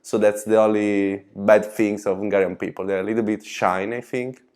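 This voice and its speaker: A young man speaks second-language English in a relaxed, offhand way. He waves ideas away and lets his sentences trail off. His voice is slightly low. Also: normal speed. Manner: casual, trails off with "blah, blah". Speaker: exchange student. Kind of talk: documentary interview answer.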